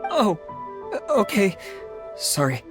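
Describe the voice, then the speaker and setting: An actor playing a nervous, meticulous male civil servant in a video game, halting and full of ums. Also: sits high, wildly swooping pitch, music in the background, exaggerated voice. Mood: apologetic.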